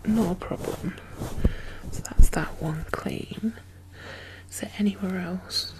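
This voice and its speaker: Woman playing a whispering, gentle apocalypse medic woman. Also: lowish voice, takes it slowly, poor audio. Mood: gentle reassurance. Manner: hesitant, reassuring, conversational. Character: gentle, chatty woman medic caring for zombie apocalypse survivors. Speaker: ASMR roleplay performer. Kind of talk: soft-spoken, whispered ASMR roleplay.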